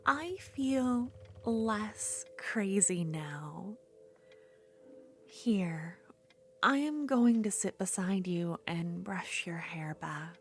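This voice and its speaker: A woman playing an obsessive, unhinged female duelist rival in an ASMR fantasy roleplay, speaking intimately and often slipping toward a breathy whisper. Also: medium pitch, slight background noise. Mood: desperate, intense, then tender, possessive.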